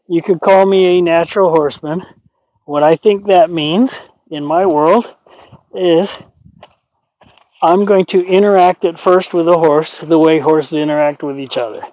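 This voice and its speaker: A man's voice: sits high, unhurried, pauses often, darkish tone, lo-fi sound. Folksy man teaching horse training. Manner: folksy, rambling, plain-spoken. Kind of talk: explaining horse training while working. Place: outdoors at a horse pen.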